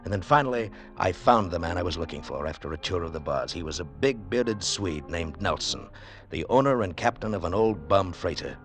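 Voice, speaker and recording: An actor in 1940s radio style playing a wry, world-weary adventurer, terse and measured, on a noisy vintage recording. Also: male voice, sits low, animated, soft background music. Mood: tense, reflective. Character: hard-boiled, wry male adventurer narrating his tale. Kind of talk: 1940s radio acting and first-person narration.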